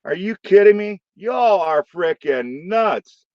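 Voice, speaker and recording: A man with a St. Louis accent hosting a late-night live stream from his room, joking loudly and swearing freely. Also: sits high, lively intonation, slow pace, no echo, highs cut off. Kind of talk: casual live stream chat.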